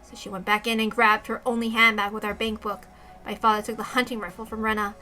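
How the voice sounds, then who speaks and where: A woman's voice: fairly high pitch, little pitch movement, fast, bright, forceful tone, soft background music. A woman reading a first-person story aloud as narration. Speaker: reader of a visual novel story.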